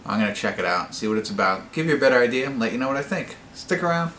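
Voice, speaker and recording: A man hosting a casual, unscripted movie review, talking in a halting, rambling way and often correcting himself. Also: mid-range voice, animated. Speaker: movie review channel host. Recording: echoey room with faint noise.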